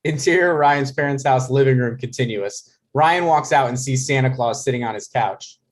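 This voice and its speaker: A man's voice: medium pitch, moderate pace. A man reads scripted stage directions as narrator of a table read. His voice sits in a middle register, and he delivers scene headings and action lines.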